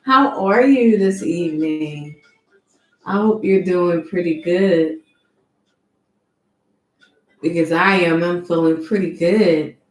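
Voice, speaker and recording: A woman giving a tarot reading who talks slowly and deliberately, sniffling from allergies, and calls on spirits in a measured, invocation-like way. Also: lowish voice, reverberant, poor audio. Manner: slow, soft and deliberate, with an invocation-like cadence. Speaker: tarot card reader.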